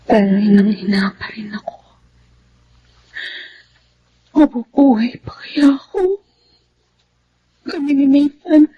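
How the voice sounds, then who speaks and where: A woman playing an ailing, remorseful older sister in a Filipino radio drama, with a weak, breathy voice. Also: sits high, expressive, a little slow, highs cut off, slight background noise. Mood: weak, fearful. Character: sick, remorseful older sister, once greedy, now humbled.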